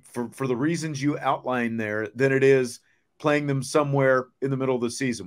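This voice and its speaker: Male sports talk host, somewhat high-pitched, casual and rambling, tends to restate points.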